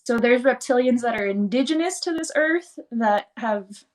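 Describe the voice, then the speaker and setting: A woman whose first language is Spanish, a guest on a livestream chat, explaining things in a fast, rambling way full of "like"s. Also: on the high side, lively intonation.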